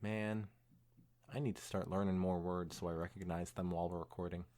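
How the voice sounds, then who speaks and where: A young man hosting a podcast, reading fan fiction aloud in an animated way mixed with casual chat. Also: deep voice, a little fast.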